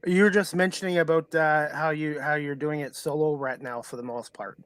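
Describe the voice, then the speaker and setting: A man's voice: a little high, fairly even intonation, a little fast, fairly bright tone, dry recording. A man hosting a podcast talk show, asking questions in a casual, chatty way. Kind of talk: casual interview chat.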